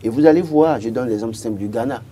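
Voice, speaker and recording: A man's voice: medium pitch, fast talker, dark tone, a little hiss or hum. A man in his thirties from Benin with a Beninese French accent, arguing as a political commentator on a TV panel and often stuttering on repeated words.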